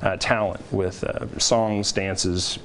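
A man's voice: deep voice, level tone, slow pace, fairly bright tone, a little hiss or hum. A middle-aged man, a theater director, chatting informally as a guest in a TV studio interview.

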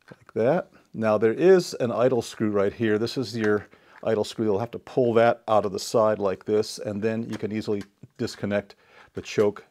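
Low, mellow man explaining. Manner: deliberate, step-by-step explaining. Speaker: DIY repair demonstrator. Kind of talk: casual hands-on tutorial narration. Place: indoor home workshop.

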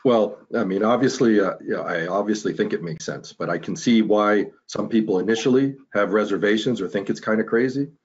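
A man from Costa Rica who works in medical tourism, answering interview questions in a calm, measured way on a video call. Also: lowish voice, slightly rough. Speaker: hospital medical tourism director. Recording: dull video call audio.

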